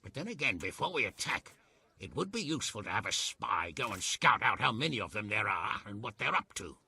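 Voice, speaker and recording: A blustery medieval lord in a game cutscene. The voice is very raspy and forceful, swinging widely in pitch, with gruff sarcasm throughout. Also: male voice, big pitch swings, brisk, exaggerated voice. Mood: blunt, commanding. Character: blustery, sardonic medieval lord, hearty and blunt. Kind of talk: video game cutscene acting.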